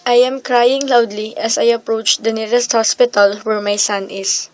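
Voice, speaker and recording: A woman's voice: a little high, fairly even intonation, moderate pace, a little mellow, dry recording, close to an everyday voice, poor audio. A woman playing a bereaved but resolute mother, narrating in a scripted radio drama. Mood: sorrowful, resolute. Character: bereaved mother mourning her son, resolute. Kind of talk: scripted first-person radio drama monologue.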